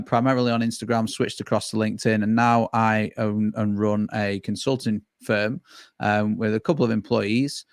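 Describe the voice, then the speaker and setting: Male consultant guest, gravelly low voice, dry close mic, easy conversational delivery. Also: no room sound. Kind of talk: interview answers. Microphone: video call.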